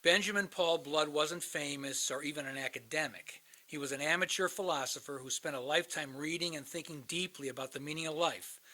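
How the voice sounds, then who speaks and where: Guy acting jaded older hotline counselor, cynical and bitter, slightly high voice, radio play style. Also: sharp tone. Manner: world-weary, philosophizing.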